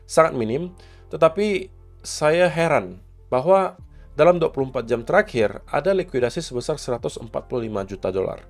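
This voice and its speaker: A man with a hoarse, coughing voice, casually walking viewers through upcoming market data and events, mixing in English market terms. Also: on the high side, lively intonation, moderate pace, faint music behind. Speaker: crypto market commentator.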